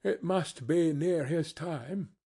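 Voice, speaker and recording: A man voicing a gentle, grieving mother in a storybook reading, bravely steady but faltering and repeating herself. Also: a little high, slow, creaky, slightly rough, pitched above the performer's own voice, heavily acted. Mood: tender, weary.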